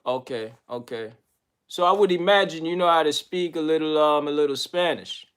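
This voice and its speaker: A man with a slightly raised pitch hosts a relaxed radio interview. He stumbles through his questions with fillers and false starts before he lands on them. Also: moderate pace, clean tone. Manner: hesitant, many restarts and fillers. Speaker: radio show host. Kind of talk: casual interview.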